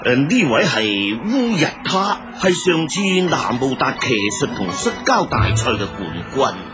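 Swinging-voiced, hearty old Mongolian elder. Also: male voice, mid-range voice, sing-song, measured pace, over music. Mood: respectful, welcoming. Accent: Hong Kong Cantonese. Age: older. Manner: chuckling, hearty. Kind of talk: Cantonese radio drama acting. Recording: radio rebroadcast with noisy ambience.

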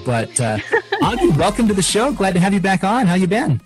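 A man's voice: high voice, huge pitch swings, moderate pace, faint music behind. A man hosting a podcast in casual interview chat, often hesitating and repeating words as he searches for the next one. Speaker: podcast host.

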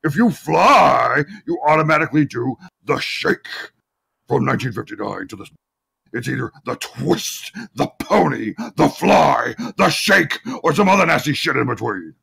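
A pompous vampire showman, reads aloud in a theatrical, grandiose style. The voice is deeply gravelly and raspy, stretching words out with great self-importance. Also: expressive, pushed and bright, heavily acted. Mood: grandiose, insistent. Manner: grandiose, drawn-out delivery. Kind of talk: theatrical dramatic reading of an open letter.

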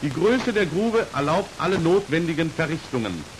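Guy doing old wartime training film narration, slightly high voice, formal and exact, lots of hiss. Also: moderate pace. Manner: formal, precise, matter-of-fact. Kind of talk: 1940s instructional film narration, scripted. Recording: old 1940s film soundtrack, hissy, crackly noise. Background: steady hiss.

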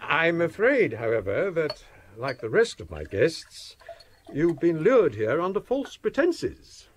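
An actor with an English accent playing a courteous, scholarly older provost in a period radio drama, formal and donnish. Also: male voice, a little high, huge pitch swings, slow pace, a little hiss or hum, heavily acted. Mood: hospitable. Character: courteous, scholarly older Cambridge provost and ghost-story teller.

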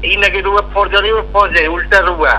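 A man's voice: high voice, fairly even intonation, fairly quick, pushed and bright. A man with a Bihari accent on an informal official phone call as an office clerk, questioning insistently. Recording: noisy, humming recorded phone call.

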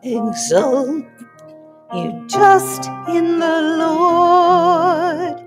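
A woman's voice: extremely high pitch, expressive, extremely slow. A middle-aged woman, the music minister, singing a psalm in a large church while playing the piano. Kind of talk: sung liturgical psalm and hymn. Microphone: mic on the piano. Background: her own piano accompaniment.

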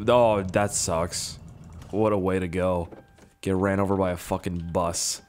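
A young man talks through his gameplay in a slightly low, hoarse voice that sounds worn out. He rambles loosely, swears freely and pokes fun at himself, as in a casual let's-play recorded on a desk mic. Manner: rambling, profane, self-mocking. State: hoarse, strained voice. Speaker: gaming YouTuber.

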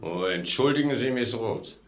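An actor playing a menacing lift attendant in an old German radio play, hesitant and insinuating behind feigned politeness. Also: male voice, mid-range voice, expressive, moderate pace, close to an everyday voice. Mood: falsely apologetic.

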